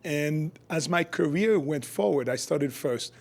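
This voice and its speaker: Middle-aged man from Haiti, husky voice, slightly high pitch, physician, explanatory interview style. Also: unhurried. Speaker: guest, functional medicine physician.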